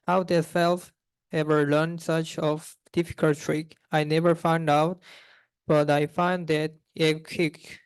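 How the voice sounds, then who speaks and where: A young man with a fairly high, clear voice reads a rhyming children's book. His delivery stays flat and even. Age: young adult. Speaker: student reader. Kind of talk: read-aloud of a rhyming children's storybook.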